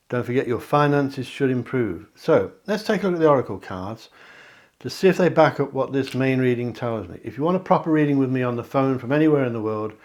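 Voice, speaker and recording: Chatty man giving a card reading. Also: medium pitch. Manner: chatty, reassuring, with asides and self-promotion. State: slightly hoarse. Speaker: psychic reader. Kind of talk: tarot card reading to camera.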